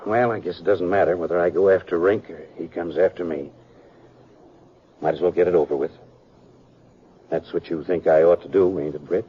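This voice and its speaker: An American actor playing a troubled, dutiful sheriff with plain-spoken delivery in a 1950s radio western. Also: male voice, low voice, fairly even intonation, brisk, long pauses, lightly acted. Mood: troubled, resigned. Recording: dull, noisy 1950s radio recording.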